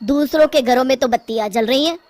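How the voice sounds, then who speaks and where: Woman playing a high-pitched freeloading brother-in-law. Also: fast, a little mellow, soft background music, natural voice. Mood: demanding. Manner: imperious. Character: freeloading, demanding brother-in-law, a grown man.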